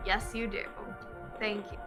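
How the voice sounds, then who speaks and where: A woman's voice: mid-range voice, level tone, a little slow, over music. A woman casually chatting and reading out donation messages at a charity speedrun event.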